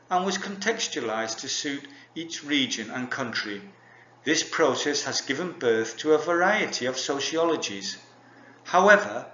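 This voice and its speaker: A man giving a measured, formal university lecture read from a script. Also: on the high side, sharp tone, a little hiss or hum. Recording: echoey dull lo-fi recording.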